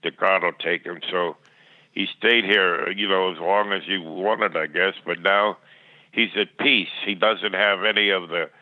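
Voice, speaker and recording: A man's voice: low voice, fairly even intonation, a little slow, dry recording. An older man reminiscing as an interview guest, plainspoken and rambling, often stammering and repeating words, over a thin phone line. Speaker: podcast guest. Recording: tinny, band-limited phone line.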